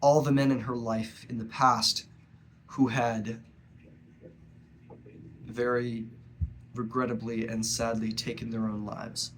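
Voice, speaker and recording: A young man speaks slowly and earnestly, stopping often to find his words. His nose is blocked from a cold, and his pitch stays flat and steady. A faint hiss sits under the recording. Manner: earnest, halting, with frequent pauses. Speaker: vlogger. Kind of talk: personal reflective monologue.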